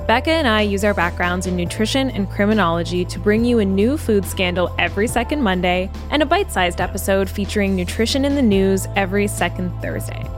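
A woman's voice: medium pitch, expressive, a little fast, few pauses, faint music behind. A woman co-host reading a scripted podcast promo.

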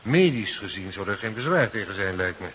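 An actor playing a calm, matter-of-fact hospital doctor in a 1970s radio drama, speaking in a measured, polite way. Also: male voice, on the low side. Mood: calm, reassuring. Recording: dull radio tape, faint background noise.

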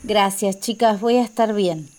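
An actress playing a gentle, dreamy young woman in love in a radio drama, soft-spoken, with an Argentine accent. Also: mid-range voice, a little slow, natural voice. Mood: tender.

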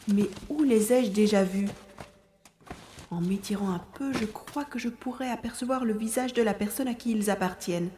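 Woman acting an anxious young sleuth, echoing, high-voiced. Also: a little mellow. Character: anxious young sleuth narrating while sneaking and hiding. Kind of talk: audio drama acting with inner monologue. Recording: roomy long reverb, faint noise.

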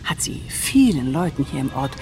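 A woman's voice: very deep voice, sing-song, moderate pace, music in the background. An actress playing a mild, discreet, evasive village woman in a radio drama, speaking in a hesitant, placating way. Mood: mildly defensive.

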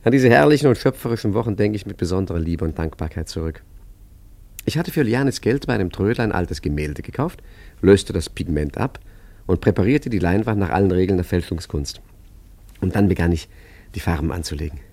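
A deep-voiced, suave art forger. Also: male voice, animated, brisk, dark tone. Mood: grandiose, savoring. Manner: theatrical, ironic, eloquent. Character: suave, cheeky male art forger and street painter. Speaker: narrator and lead actor. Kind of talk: German radio play acting and narration.

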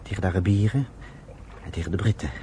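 Noisy recording, low-voiced earnest man played by an actor. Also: fast, mellow, close to an everyday voice. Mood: earnest, narrating. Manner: thoughtful, explanatory. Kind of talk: Dutch radio play acting. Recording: noisy old radio play recording.

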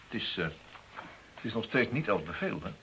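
An actor in a radio play playing a puzzled, sceptical client who asks questions in a hesitant, informal way. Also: male voice, on the low side, sing-song, a little fast, fairly bright tone, low-quality recording. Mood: doubtful, questioning. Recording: radio play with faint background noise.

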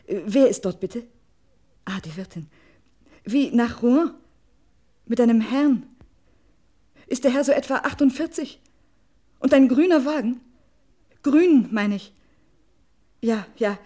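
An actress in a German radio crime drama playing an anxious, jealous wife, agitated and desperately worried. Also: female voice, a little high. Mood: agitated, urgent. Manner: agitated, swings between hushed brooding and urgent calls.

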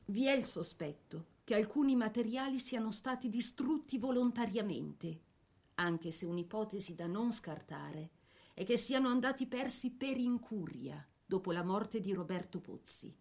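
A woman narrating a scripted biographical drama in a solemn, literary manner with measured phrasing. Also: medium pitch, expressive, creaky, darkish tone.

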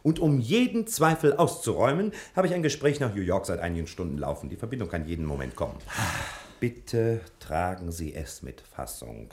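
An actor playing a brisk, exasperated detective in a 1960 German radio crime drama, speaking in an emphatic, clipped way. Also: male voice, low-pitched, sing-song, a little mellow. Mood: formal, triumphant.

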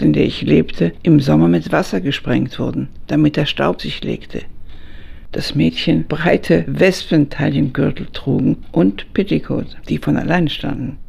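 An aged woman narrating her memories in a radio drama. Her voice is heavily creaky and husky, and she speaks in a measured, reflective way. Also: low-pitched, darkish tone, heavily acted. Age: older, over 70. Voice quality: gravelly, husky. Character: aged woman recalling her teenage summer job.

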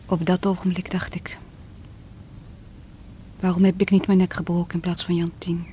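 Actress as bitter, heartbroken divorcee, radio drama; theatrical, hushed, breathy, some background noise. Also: female voice, on the low side, level tone, moderate pace, lightly acted. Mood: anguished, hushed. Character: distraught lovelorn divorced woman confessing bitterly to a police inspector. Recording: noisy vintage radio play recording.

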